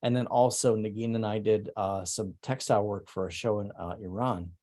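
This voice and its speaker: A middle-aged man walks through his own artwork in an online salon talk. His voice sits fairly low. He talks haltingly, full of ums, trailing off and restarting his sentences. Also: steady tone, mellow. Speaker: presenting artist.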